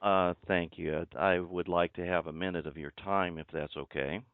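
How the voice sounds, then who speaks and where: A man with a low voice speaks over a dry, narrow telephone connection. His way of talking is formal and deliberate, with an insistent, questioning edge of a caller who wants answers. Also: fairly even intonation, no echo. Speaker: caller introducing himself as a judge. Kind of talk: formal phone conversation. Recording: tinny band-limited phone line.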